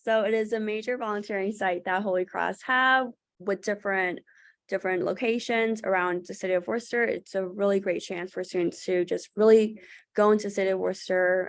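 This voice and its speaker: A woman's voice: mid-range voice, no room sound. Earnest young woman on a dry video call. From Thailand. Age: young adult. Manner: earnest, with frequent fillers and restarts. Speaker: student panelist. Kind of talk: webinar panel talk.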